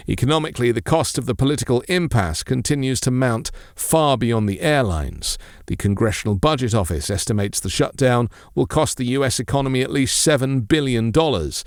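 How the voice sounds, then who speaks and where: A man reading scripted news as a presenter, with a formal, measured delivery. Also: medium pitch, no room sound, studio quality.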